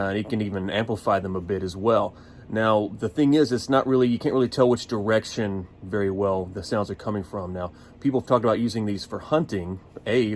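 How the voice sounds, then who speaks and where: A man's voice: lowish voice, a little mellow, a little hiss or hum. An American man giving a casual, unscripted gear review in a folksy, explanatory manner. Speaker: product reviewer.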